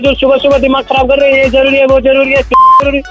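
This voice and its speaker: A man's voice: very high-pitched, monotone, fast talker, music in the background. A man on a phone call, speaking casually and bluntly as the recipient of a prank call. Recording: dull noisy phone line.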